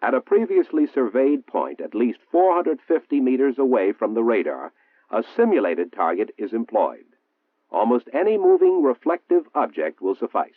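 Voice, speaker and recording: An American man reading a 1960s military training film narration in a formal, measured, precisely enunciated manner. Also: medium pitch, sing-song. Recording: muffled, roomy 1960s film soundtrack, phone-like.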